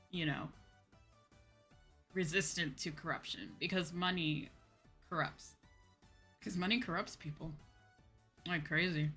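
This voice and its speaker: A woman's voice: lowish voice, sharp tone. A woman streamer chatting casually and candidly, with emphatic repetition and informal, sweary phrasing. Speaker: VTuber streamer hosting a chat stream. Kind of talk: casual livestream chat. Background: background music.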